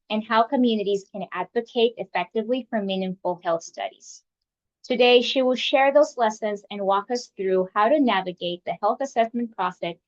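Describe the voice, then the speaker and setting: A woman hosting an online webinar, reading a polite, welcoming scripted introduction over a video call. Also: mid-range voice. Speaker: webinar host and community coordinator. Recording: muffled webinar audio.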